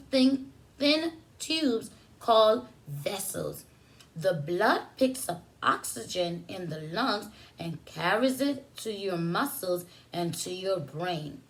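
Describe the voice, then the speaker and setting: A woman preschool teacher reading a picture book aloud to young children, pausing for simple explanations and questions addressed to them. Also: medium pitch, low-quality recording.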